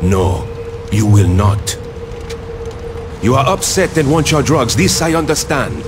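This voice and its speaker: An actor playing a menacing, mystical gang lieutenant with a measured, formal, sinister manner in a video game. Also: male voice, medium pitch, sing-song, heavy background noise. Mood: sinister, persuasive. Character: menacing, mystical male voodoo gang lieutenant, coldly polite. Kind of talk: video game cutscene acting.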